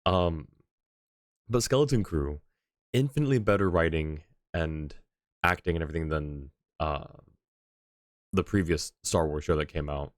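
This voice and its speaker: Deep-voiced man chatting on a livestream. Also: moderate pace, pauses often, no echo, clean studio sound. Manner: rambling, thinking aloud. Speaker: live game streamer. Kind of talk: casual livestream chat.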